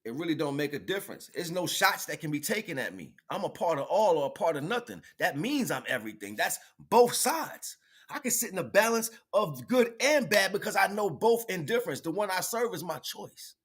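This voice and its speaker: A man's voice: high voice, sing-song, fairly quick, fairly bright tone, slightly rough. A man giving an improvised spiritual, motivational livestream talk in an emphatic, preachy way, repeating phrases for effect.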